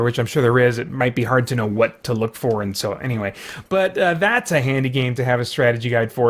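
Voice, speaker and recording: A man hosting a casual vlog, chatting in a meandering way and often breaking into his own sentences with asides. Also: a little high. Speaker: video host showing a collection. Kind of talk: casual rambling vlog chat.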